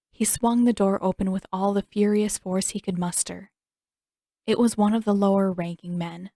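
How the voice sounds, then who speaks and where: A woman narrates a story in a scripted audiobook style. Her pitch is average for a woman, and the recording is dry and close. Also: a little mellow, no room sound.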